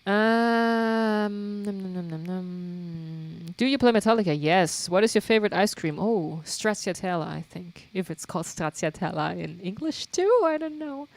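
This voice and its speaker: A woman's voice: medium pitch, expressive, a little slow, dry recording. A young woman from Germany with a German accent, chatting casually with her livestream viewers, laughing often and using lots of fillers. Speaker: livestream host and guitarist. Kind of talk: casual chat answering viewer questions.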